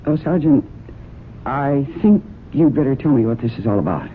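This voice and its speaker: A woman's voice: very deep voice, lively intonation, normal speed. A young woman guarding a secret in an old radio drama. She is earnest, tense and defensive. The recording is narrow and tinny with a faint hiss. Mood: guarded, impatient.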